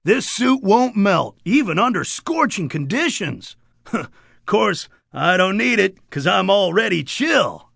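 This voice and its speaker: An actor playing a laid-back, boastful surfer dude creature in a cartoon game, talking in upbeat beach slang. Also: male voice, sits high, very animated, takes it slowly, vocal fry, a bit bright, no echo, clean studio sound, heavily acted. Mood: chilled, admiring. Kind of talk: animated game character dialogue.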